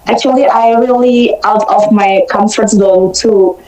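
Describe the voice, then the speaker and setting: Mellow woman teacher, slow and hesitant, faint background noise. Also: mid-range voice, steady tone, reverberant, rough recording. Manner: searches for words, hesitant. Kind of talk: casual online chat. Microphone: video call.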